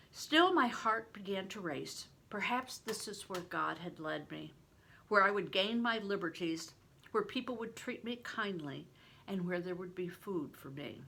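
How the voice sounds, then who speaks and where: An older woman reading a novel aloud in an expressive, deliberate storytelling manner, stressing words for drama. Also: mid-range voice, vocal fry, slight background noise, poor audio. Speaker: reader, retired reading teacher. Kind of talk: expressive audiobook-style read-aloud of a novel.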